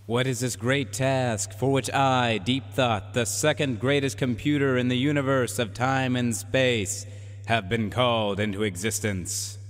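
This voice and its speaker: A man's voice: medium pitch, fairly even intonation, unhurried, close to an everyday voice. A man playing a pompous, haughty supercomputer in a science fiction radio drama, declaiming in grandiose archaic phrases. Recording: long reverberant hall echo.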